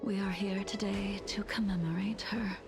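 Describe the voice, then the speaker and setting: An actress playing a solemn, grieving veteran swordswoman in a video game, with a soft, breathy, restrained delivery. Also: medium pitch, steady tone, over music, close to an everyday voice. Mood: cool, composed. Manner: slow, measured, grave. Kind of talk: English-dubbed video game story acting.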